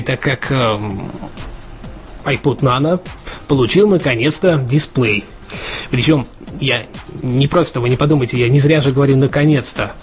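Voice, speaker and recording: A man co-hosting a casual news podcast, talking in a chatty way with lots of fillers and restarts. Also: medium pitch. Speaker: podcast co-host. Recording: dull lo-fi podcast audio with faint music.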